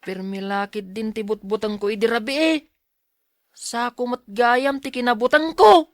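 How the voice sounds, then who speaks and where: A woman's voice: a little high, steady tone, moderate pace, dry recording, natural voice. Lady acting as a shy jumpy schoolboy in a radio style drama, hesitant and mumbly to himself, really dry recording. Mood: wary, musing. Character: timid, easily frightened schoolboy Aljun, polite and bashful.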